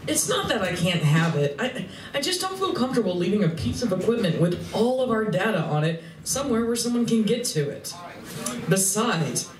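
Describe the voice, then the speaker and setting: A woman's voice: medium pitch, sing-song, moderate pace, close to an everyday voice. A woman playing a sardonic, exasperated space-traveling saleswoman in a radio play, with a sarcastic, scolding manner. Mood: businesslike, wary. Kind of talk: live stage radio play acting. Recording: roomy, distant recording with faint noise.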